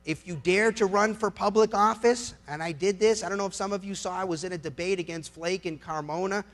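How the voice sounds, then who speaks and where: A man's voice: high voice, brisk. An American man giving a persuasive public speech, speaking emphatically in plain colloquial language and repeating phrases for effect.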